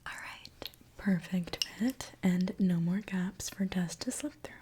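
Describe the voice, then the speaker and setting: A woman softly whispering an ASMR roleplay as a mechanic, gently explaining each step to the listener. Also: lowish voice, slightly rough. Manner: soft, gentle whispering, unhurried step-by-step narration.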